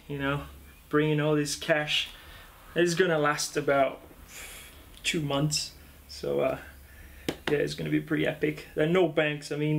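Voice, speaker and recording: Man in his thirties, mountaineer; relaxed, halting delivery with fillers, slightly high voice, music under. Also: creaky. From born in Argentina, raised in Brazil. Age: 30s. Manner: relaxed, with many fillers and restarts. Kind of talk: documentary interview and voice-over.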